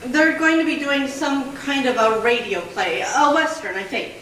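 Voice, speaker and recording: A woman's voice: high voice, fairly bright tone, slight background noise. An actress playing a bossy woman who runs a small radio station and scolds her lazy janitor, recorded from a distance in an echoey hall. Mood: scolding. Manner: scolding, brisk.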